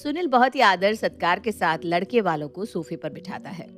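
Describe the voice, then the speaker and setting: A woman's voice: mid-range voice, lively intonation, moderate pace, music in the background. A woman narrator delivering scripted storytelling for a family moral tale.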